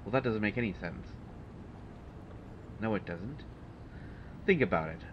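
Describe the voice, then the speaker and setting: Man playing a low-voiced, haughty supervillain, noisy recording. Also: animated, unhurried, dull audio. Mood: exasperated, lecturing. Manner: condescending, exasperated, formal wording. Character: haughty, wounded male supervillain mastermind, articulate and prickly. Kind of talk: audio roleplay acting addressed to the listener.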